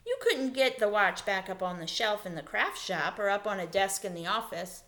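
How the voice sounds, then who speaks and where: A woman plays a young boy camper from a children's storybook. He is shrewd and wary, questioning and setting out terms. The pitch is medium, in a read-aloud style. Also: moderate pace, a bit bright, lightly acted. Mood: doubtful, curious. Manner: questioning, deal-making.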